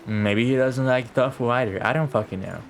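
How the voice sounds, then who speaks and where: A man's voice: mid-range voice, fairly quick, slight background noise. A man giving casual live game commentary as a streamer, rambling and thinking aloud.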